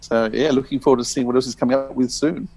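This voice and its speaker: A man's voice: mid-range voice, steady tone, fast talker, dark tone, no room sound. A man chatting casually as a podcast co-host.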